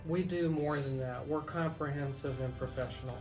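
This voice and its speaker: A man hosting a legal talk radio show, explaining in a deliberate way as a lawyer. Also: fairly high pitch. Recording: music bed under the voice. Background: music.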